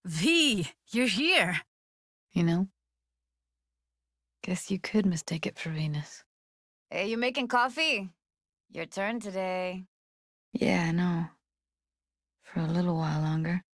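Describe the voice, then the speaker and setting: A woman's voice: lowish voice, animated, slow, long pauses, a bit bright, dry recording, studio quality, full character voice. A woman playing a guarded, blunt but tender young techie in a video game, speaking in a casual, clipped, streetwise way. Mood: soft, wistful. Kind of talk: naturalistic video game voice acting.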